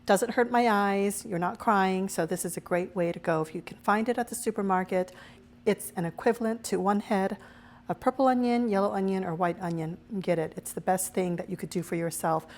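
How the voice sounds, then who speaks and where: A woman hosting her own cooking show, her voice a little raspy as she recovers from a cold, chatting through each step as she cooks. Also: medium pitch, fairly even intonation, fairly quick, a little mellow. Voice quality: slightly raspy. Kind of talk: casual cooking demonstration.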